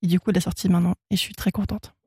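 A woman's voice: fairly low pitch, level tone, very fast, darkish tone, husky, dry recording. A young woman from Switzerland, German her first language, chatting as a singer-songwriter guest in a radio studio, laughing often and talking with many fillers and restarts. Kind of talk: casual radio interview.

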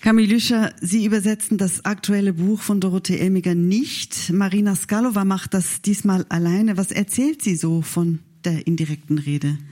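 A woman's voice: mid-range voice, moderate pace, hardly any pauses, warm tone. A woman whose first language is English, moderating a literary discussion and putting questions to guests.

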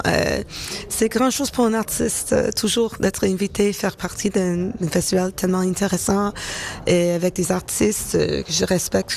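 A woman in her thirties, a singer-songwriter from Toronto, speaking French with an English-speaking Canadian accent, pausing often to find her words in a radio interview. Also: medium pitch, a little mellow. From Canada, born in Toronto. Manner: hesitant, searching for words, many fillers.

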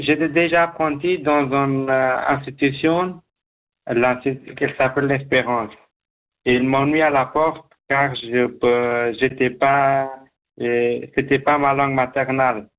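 A man from Afghanistan, speaking as a guest in a radio interview, halting, with many restarts. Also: medium pitch, fairly even intonation, narrow, tinny audio. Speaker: guest job seeker.

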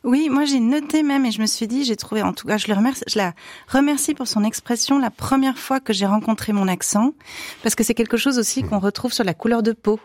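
A woman taking part as a guest on a radio discussion, speaking in a thoughtful, expressive way. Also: on the high side, a little fast, dry recording, studio quality.

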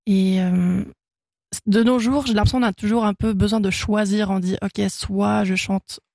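A woman's voice: medium pitch, steady tone, normal speed, vocal fry, a little mellow, dry recording. A young Swiss woman whose first language is German, a singer chatting casually in a radio interview, laughing often and full of fillers and restarts. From Switzerland. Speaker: guest singer-songwriter. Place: radio studio.

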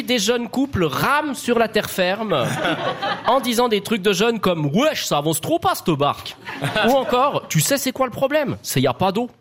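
A man's voice: high voice, a bit bright. A man panelist giving a mock-serious comic quiz answer with dry wordplay. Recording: clear noise, clicks.

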